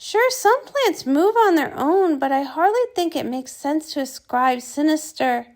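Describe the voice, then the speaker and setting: A woman's voice: high voice, expressive, a little slow. A woman voicing a bookish, anxious male cartoon pony with a wordy, hesitant manner. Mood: scholarly.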